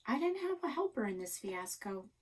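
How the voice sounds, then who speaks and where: A woman's voice: a little high, big pitch swings, moderate pace, slight background noise. A woman voicing a mischievous young girl in a children's story, sheepish and dramatic. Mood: sheepish, evasive. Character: fearless, mischievous young girl narrating her troubles. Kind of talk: children's novel read-aloud.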